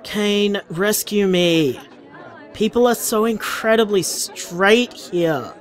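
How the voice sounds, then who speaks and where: An actor playing a dramatic, anxious, sardonic boyfriend in a conversational audio drama. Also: male voice, sits high. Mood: miserable, exasperated. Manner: exasperated, hesitant, sardonic. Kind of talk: audio drama voicemail acting, naturalistic and conversational.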